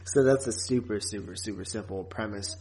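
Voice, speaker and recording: A man's voice: fairly low pitch, brisk, a little mellow. A man co-hosting a podcast in a casual, informal review chat, with a joking, teasing manner. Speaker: podcast co-host.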